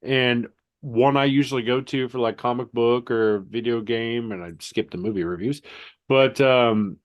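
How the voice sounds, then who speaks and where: Male co-host with a mid-pitched nasal, sniffly voice who stumbles and restarts as he tells a story. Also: lo-fi sound. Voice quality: nasal, stuffy. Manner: halting, repeats words, storytelling. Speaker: podcast co-host. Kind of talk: casual podcast chat.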